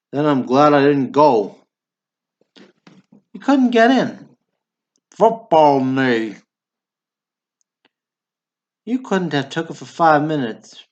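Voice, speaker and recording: A man's voice: a little high, highs cut off. A man playing a blunt, bickering small-town Southern brother in a radio drama. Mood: stubborn. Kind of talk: stage comedy dialogue, radio drama acting.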